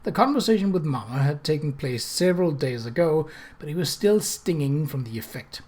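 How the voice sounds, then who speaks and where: A man reads period fiction as an audiobook narrator. His voice sits slightly high, and he delivers the prose as scripted, dramatic storytelling. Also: animated, brisk, a little mellow. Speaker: audiobook reader.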